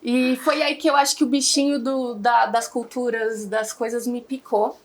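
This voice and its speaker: A woman's voice: a little high, level tone, normal speed. A young Brazilian woman talking casually as an interview guest, chatty and rambling with many fillers. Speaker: guest, founder of an online English school.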